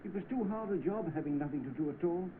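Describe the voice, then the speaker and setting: A deferential manservant acted by a man in a vintage film, always ready with an excuse. His voice is fairly high and swings widely, through a tinny soundtrack. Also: lively intonation, fast, a little hiss or hum, lightly acted. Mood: earnest, apologetic.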